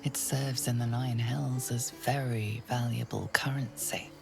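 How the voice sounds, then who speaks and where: A woman narrating a dark fantasy video game in a solemn, measured and ominous manner. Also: extremely low pitch, fairly even intonation, gravelly, music in the background, exaggerated voice.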